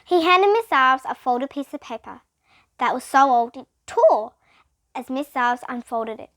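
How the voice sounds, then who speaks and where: A woman's voice: high-pitched, expressive, moderate pace, a bit bright. A female reader narrating a children's storybook aloud, with occasional explanatory asides.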